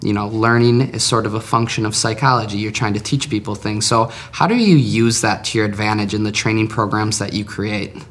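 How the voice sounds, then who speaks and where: A man's voice: on the low side, brisk, hardly any pauses, fairly bright tone. A man hosting a business podcast, who introduces his guest and asks questions with friendly, affirming replies. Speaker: podcast host. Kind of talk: scripted podcast interview hosting.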